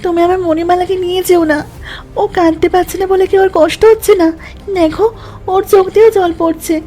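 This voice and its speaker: A woman's voice: extremely high pitch, fairly even intonation, normal speed, warm tone. A woman voicing a tender-hearted young village girl in a cartoon story, pleading tearfully. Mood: desperate pleading. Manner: tearful, imploring delivery. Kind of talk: Bengali moral-story cartoon voice acting. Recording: music bed behind the voice.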